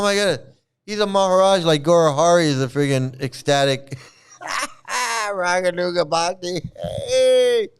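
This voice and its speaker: A man gives a mocking rant over video clips, laughing often and repeating his jabs. His voice sits fairly high and sounds very clear and clean. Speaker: YouTube commentator.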